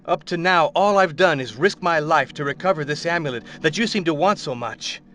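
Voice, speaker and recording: A man's voice: on the high side, animated, fairly quick, a bit bright, slight background noise. An actor playing a determined young husband searching for his wife in a game cutscene, speaking in a defiant, earnest way. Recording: game audio with clear music score.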